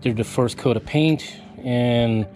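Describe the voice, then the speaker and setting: A man's voice: medium pitch, takes it slowly, darkish tone. Laid-back man explaining his DIY work. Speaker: RV renovation vlogger. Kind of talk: casual explaining. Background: background music.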